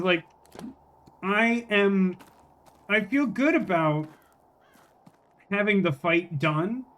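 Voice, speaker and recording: A game streamer chatting casually through a playthrough, thinking out loud with joking asides. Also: mid-range voice, a little hiss or hum. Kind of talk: casual gameplay commentary, reading dialogue aloud.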